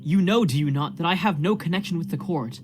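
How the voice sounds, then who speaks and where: A man's voice: a little high, fairly quick, soft background music, close to an everyday voice. A man playing an anguished, guilt-ridden minister in a radio play, pleading fervently in formal, archaic period language. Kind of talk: period stage drama acting in a radio play.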